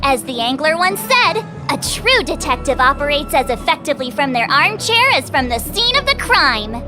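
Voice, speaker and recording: High, bubbly girl detective. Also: moderate pace, music in the background. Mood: playfully self-important. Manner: excitable, playful, dramatic. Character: bubbly, theatrical young girl playing amateur detective. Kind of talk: animated video game voice acting.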